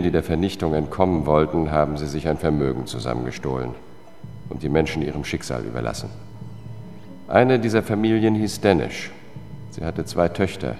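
Radio drama narrator: a determined male investigator, low-pitched, measured and grave, with faint music underneath. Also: a little mellow. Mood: grave, accusing. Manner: measured, matter-of-fact, grave. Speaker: first-person narrator.

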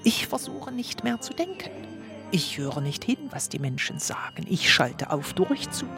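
Earnest, delusional low-voiced housewife. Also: female voice, sing-song, a little slow, music in the background, heavily acted. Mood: pained, withdrawn. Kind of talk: documentary radio play interview.

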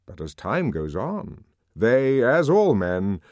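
A lively, wide-ranging voice for an urbane man in power as a male narrator acts him. He lectures with wry authority and genial teasing, in the style of a novel read aloud. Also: mid-range voice, slow pace, dry recording, voice pushed up. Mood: solemn reading. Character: urbane, wry, authoritative male world controller, amused intellectual.

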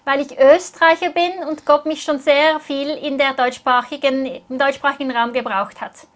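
A woman's voice: sits high, steady tone, normal speed. A woman interpreting a sermon phrase by phrase, speaking earnestly and closely following the preacher.

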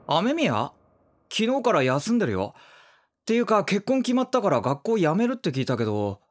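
A man playing a casual, gossipy high-school student in an audio drama. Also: wildly swooping pitch, normal speed, heavily acted. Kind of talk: Japanese drama CD acting.

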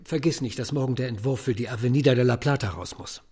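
An actor playing a confident, dismissive male office boss in a radio drama, with a husky voice. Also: medium pitch, quick pace, dark tone, natural voice. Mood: friendly, brisk.

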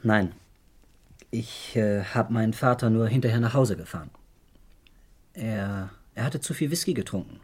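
An actor playing a defiant young law student in a German radio crime drama, speaking in a blunt, slangy, halting way. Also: male voice, on the low side, a little mellow, slightly rough. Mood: sullen, frank. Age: young adult. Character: defiant, blunt 21-year-old male law student son.

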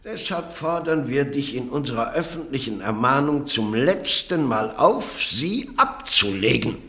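A stern, gravelly church inquisitor. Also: male voice, medium pitch, animated, measured pace, sharp tone, reverberant. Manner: solemn, admonishing, grows heated. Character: stern churchly prosecutor interrogating a heretic. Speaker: church judge. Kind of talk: 1950s-60s German radio drama.

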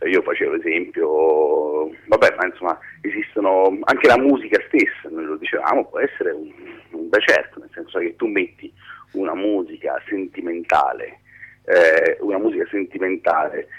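Deep, husky man, halting explainer. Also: fairly bright tone, muffled recording. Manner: halting, rambling, self-interrupting explanations. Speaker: screenwriting teacher being interviewed. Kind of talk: informal radio phone interview.

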